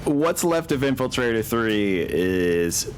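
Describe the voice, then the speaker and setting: A man's voice: mid-range voice, big pitch swings, takes it slowly, a little hiss or hum. A man running a tabletop game as game master, narrating casually and swearing now and then.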